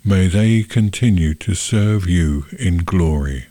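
Slow, low-pitched man leading church prayer. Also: mellow, no room sound. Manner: measured, solemn phrasing. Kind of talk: sermon and intercessory prayer.

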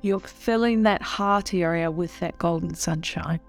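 Slow, low-voiced New woman guiding meditation. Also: gravelly, faint music behind. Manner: soothing, unhurried, instructional, with long pauses. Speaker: meditation guide, coach. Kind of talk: guided meditation narration.

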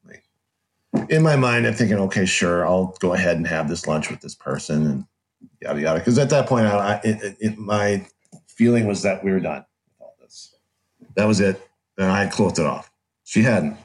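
A man's voice: low voice, expressive, normal speed. A middle-aged American man telling a personal story conversationally as an interview guest, candid and wry. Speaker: podcast guest, author.

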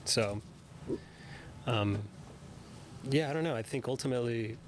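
A man's voice: mid-range voice, measured pace, a little hiss or hum. A man whose first language is Spanish, explaining his work as a team's video creator at length and earnestly, in a casual chat. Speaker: video content creator for a sports team. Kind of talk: casual podcast conversation.

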